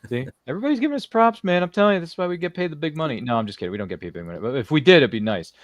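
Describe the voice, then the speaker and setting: Male stream host, 30s, rapid and chatty, jokey and effusive, very dry close sound. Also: a little high, sing-song, no echo. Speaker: talk show host. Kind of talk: casual livestream interview hosting.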